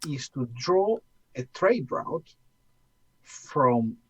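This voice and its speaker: A man's voice: on the high side, big pitch swings, drawn-out words, fairly bright tone, slightly rough, low-quality recording. A man from Greece with a Greek accent, co-hosting a livestream and explaining worldbuilding in a casual way.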